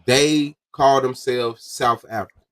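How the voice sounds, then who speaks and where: A man's voice: medium pitch, steady tone, a little slow, a bit bright. A man hosting a casual debate podcast, arguing his point insistently in slangy talk.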